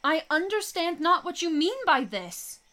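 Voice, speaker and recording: A woman's voice: extremely high pitch, big pitch swings, unhurried, bright, forceful tone, heavily acted. A woman playing a bewildered, heartbroken young woman in love in a Shakespeare radio play, plaintive and theatrical.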